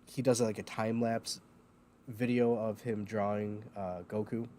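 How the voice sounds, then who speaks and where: A man's voice: lowish voice, level tone, measured pace, mellow, slight background noise. A man hosting a casual livestream chat, rambling with lots of ums, feeling a bit loopy and foggy. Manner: rambling, many ums and uhs, laughs at himself. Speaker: host.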